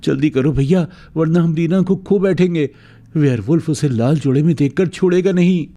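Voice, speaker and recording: A man as a frantic, high-pitched village man. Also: normal speed, dark tone, lightly acted. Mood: panicked. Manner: urgent, frantic. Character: panicked village man, headman's frantic brother. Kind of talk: melodramatic cartoon story acting.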